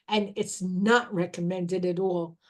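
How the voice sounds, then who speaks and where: A middle-aged woman talking informally about herbal remedies, explaining slowly and restarting her sentences as she goes. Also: fairly low pitch, steady tone. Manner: slow, unhurried explaining with restarts. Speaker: herbal educator.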